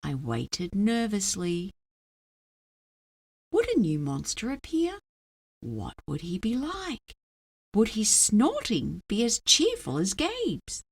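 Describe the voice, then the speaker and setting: A woman narrating a children's story aloud in a dramatic, expressive way. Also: medium pitch, slow, long pauses, husky. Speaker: storybook reader.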